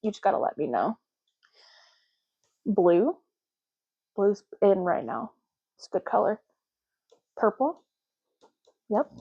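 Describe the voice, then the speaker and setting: A woman doing a soft-spoken ASMR roleplay, talking slowly and casually and often repeating words in a deliberate way. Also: medium pitch, sing-song, breathy edge, narrow, tinny audio.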